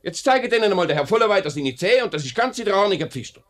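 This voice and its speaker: Brisk Swiss factory director. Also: male voice, high voice, big pitch swings, fairly bright tone. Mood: stern. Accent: Swiss German. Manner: courteous, firm. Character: measured, authoritative male factory director, fair-minded boss. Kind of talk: 1950s Swiss radio play acting.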